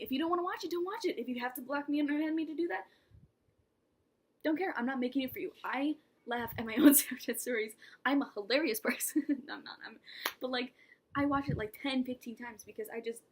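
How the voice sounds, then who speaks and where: A woman's voice: high voice, expressive, a little fast, breathy edge. A young woman talking casually to camera in a vlog, rambling and self-mocking, with laughs and whispered asides. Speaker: vlogger.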